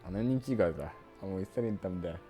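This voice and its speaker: Low-voiced Hungarian man streaming a game. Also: fast, dark tone. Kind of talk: casual playthrough commentary. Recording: game music behind the voice. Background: game music.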